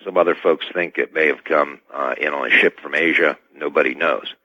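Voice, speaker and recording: A man speaking as a guest in a radio interview, choosing his words carefully and formally, with hesitant, measured phrasing. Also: rumbling low, steady tone, no room sound. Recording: dull band-limited radio line.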